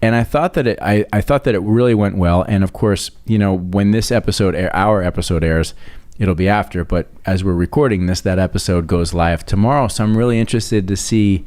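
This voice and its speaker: A man hosting an interview podcast, talking in a measured, hesitant way with many restarts. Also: low voice, darkish tone. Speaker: podcast host. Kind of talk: casual interview talk.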